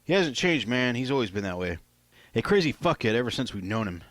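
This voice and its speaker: A man's voice: mid-range voice, big pitch swings, a little fast, fairly bright tone, dry recording. A man reading as a blunt young skater buddy. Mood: blunt, dismissive. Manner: blunt, slangy, profane.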